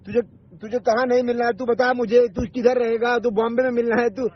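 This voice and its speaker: Guy with a really high rough voice arguing on a muffled phone recording, pushy, keeps repeating himself. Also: flat delivery, a little fast. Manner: confrontational, swearing, insistent, repeats himself. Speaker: online video maker. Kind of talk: heated argument on a phone call. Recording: dull lo-fi recorded phone call.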